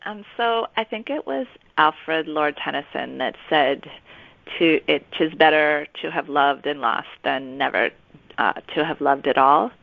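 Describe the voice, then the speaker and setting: Halting woman author, slightly dull recording. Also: low-pitched, creaky, no echo. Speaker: author, interview guest. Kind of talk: conversational explaining. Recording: slightly dull, band-limited audio.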